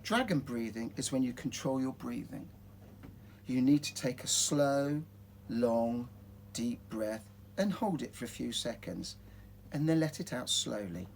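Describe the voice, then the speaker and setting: A man voicing a gentle, patient mother dragon in a storybook, softly and soothingly. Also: medium pitch, measured pace, vocal fry, a little hiss or hum. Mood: reassuring, patient.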